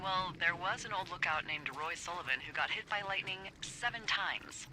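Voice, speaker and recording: A woman's voice: lowish voice, normal speed, bright, forceful tone, a little hiss or hum, rough recording. A woman playing a wry, teasing fire lookout supervisor in naturalistic video game dialogue, playful and sarcastic. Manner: playful, sarcastic, hesitant when earnest.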